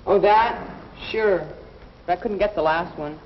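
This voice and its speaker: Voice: mid-range voice, slow, natural voice. A young male performer playing a casual, easygoing teenage schoolboy in an educational film. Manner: casual, boyish. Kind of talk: scripted educational film dialogue. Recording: muffled old film soundtrack, clear noise.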